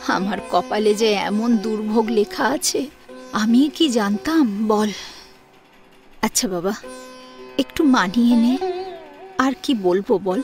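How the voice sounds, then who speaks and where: A woman's voice: medium pitch, takes it slowly, pauses often, a little mellow, pitched below the performer's own voice. A woman playing an anxious, weary middle-aged mother in an audio drama, hesitant and plaintive. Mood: plaintive, resigned. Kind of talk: Bengali audio drama acting. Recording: music under the voice.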